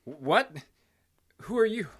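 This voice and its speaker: A man's voice: high voice, sing-song, takes it slowly, heavy background noise, exaggerated voice. An actor playing an amiable, flirting man at a bar in an audio drama, chatty and teasing. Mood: alarmed, pleading. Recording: prominent music behind the voice.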